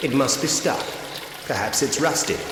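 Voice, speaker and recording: A man's voice: mid-range voice, animated, a little slow, noisy background, natural voice. An actor playing a curious, polite man in a ghost-story adventure game, musing wryly to himself in short scripted remarks. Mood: pensive, observant. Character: curious, polite man investigating a haunted Victorian house. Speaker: video game protagonist narrating his actions. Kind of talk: scripted point-and-click adventure game voice acting. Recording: long echoing reverb with music behind. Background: music.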